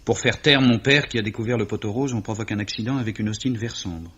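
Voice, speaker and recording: A man's voice: fairly low pitch, fast, fairly bright tone, breathy edge. An actor playing a distraught, impassioned young man with emphatic, theatrical delivery in a 1950s-style French radio drama. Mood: anguished. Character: distraught young Englishman accusing gang of crooks, impassioned. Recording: dull vintage radio recording.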